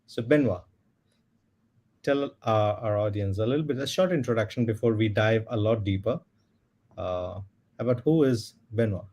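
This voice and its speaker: A man's voice: lowish voice, normal speed, pauses often, a little mellow. A man hosting an interview podcast, asking questions in a friendly, slightly hesitant way. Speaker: podcast host.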